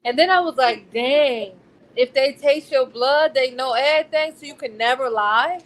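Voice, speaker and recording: An American woman chatting casually as a book discussion co-host, candid and slangy in her animated storytelling. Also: high voice, normal speed, poor audio. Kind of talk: casual chat. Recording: noticeable background noise.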